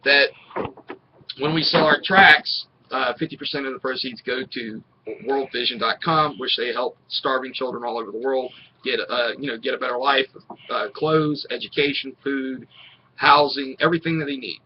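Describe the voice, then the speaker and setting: A middle-aged man, a musician guest, explaining things casually and haltingly in an interview. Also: medium pitch, fairly even intonation. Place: small indoor room with posters. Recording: dull, noisy webcam call audio.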